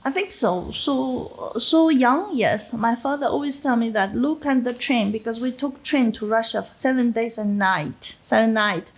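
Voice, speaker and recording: Woman, Chinese accent, middle-aged, slow pace, fairly high voice, halting English with fillers, interview reminiscence. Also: husky, rough recording. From China. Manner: halting non-native English with frequent fillers and soft laughs. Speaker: interviewee, winemaker.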